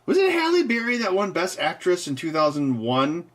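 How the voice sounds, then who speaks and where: A man's voice: sits high, huge pitch swings, normal speed, a bit bright. A man hosting a live stream, rambling casually and joking, laughing often.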